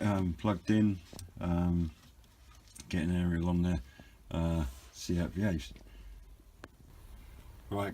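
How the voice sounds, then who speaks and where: British male hobby reviewer, low-pitched, hesitant and understated, some noise in the recording. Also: a little mellow. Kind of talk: informal unboxing and review narration.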